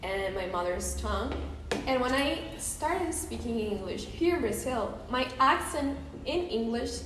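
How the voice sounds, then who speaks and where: A woman's voice: fairly high pitch, expressive, measured pace, noisy background. Woman, casual, roomy sound. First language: Spanish. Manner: casual, many fillers like "like" and "you know". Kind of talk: podcast conversation. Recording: roomy, distant mic, music behind.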